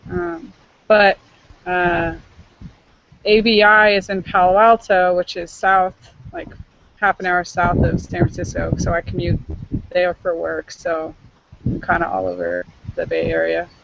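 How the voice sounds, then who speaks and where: A woman's voice: fairly low pitch, little pitch movement, unhurried, gravelly, long pauses, a bit bright, slight background noise. A young woman from Northern California, an intern leading an online meeting in casual chat, friendly and full of fillers. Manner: chatty, filler-heavy, friendly. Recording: muffled online call audio.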